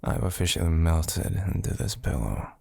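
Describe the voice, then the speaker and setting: Male actor as sleepy affectionate boyfriend, ASMR roleplay, heavy vocal fry, breathy, soft teasing drawl, very dry close sound. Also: very animated, normal speed, no echo, heavily acted. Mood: sleepy, attentive. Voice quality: breathy, gravelly low murmur.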